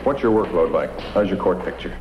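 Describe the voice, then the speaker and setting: A brisk, wry police captain in an old radio drama. He gives orders quickly in a low voice. The recording is roomy and dull. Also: expressive, a little hiss or hum. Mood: businesslike.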